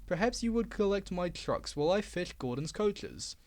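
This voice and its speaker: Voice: medium pitch, animated, moderate pace, darkish tone, natural voice. A reader voicing a shrewd, polite little tank engine with a dry, innocent manner. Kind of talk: storybook reading.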